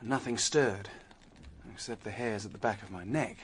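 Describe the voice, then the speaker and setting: A man's voice: on the low side, moderate pace, slight background noise, lightly acted. An actor playing a penniless, determined young Australian man who ruefully recounts his adventure in a radio drama. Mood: uneasy. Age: young adult. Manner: vivid storytelling, stammers when flustered. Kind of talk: radio drama acting and first-person narration.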